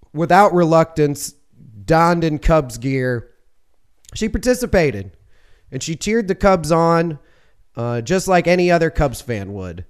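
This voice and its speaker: A man's voice: fairly high pitch, smooth. A man hosting a sports podcast, talking alone in a rambling, jokey way and often correcting himself mid-sentence. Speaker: podcast host. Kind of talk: casual sports podcast monologue.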